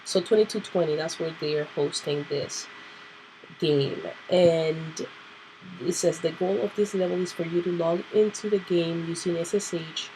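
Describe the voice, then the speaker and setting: A woman hosting a casual computer tutorial, nasal and clearing her throat because she is sick, thinking aloud with fillers. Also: fairly low pitch, fairly even intonation, a little slow, dark tone, a little hiss or hum, low-quality recording. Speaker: tutorial host. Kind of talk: casual screen-recorded tutorial.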